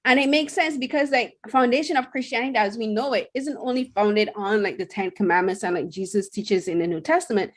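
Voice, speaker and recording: A woman's voice: on the high side, a little fast, hardly any pauses. Chatty woman from Barbados, podcast co-host. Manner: chatty, many fillers and restarts. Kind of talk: casual podcast chat, reviewing shows.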